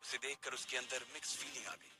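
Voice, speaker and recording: Male storyteller over loud music. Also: medium pitch, level tone, brisk. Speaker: narrator. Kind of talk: dramatic storytelling narration.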